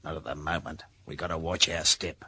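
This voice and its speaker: An actor in an old-time radio drama playing a bossy, scheming, scornful kidnapper with an Australian accent and a husky voice. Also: male voice, very deep voice, flat delivery, fairly quick, sharp tone, a little hiss or hum, full character voice.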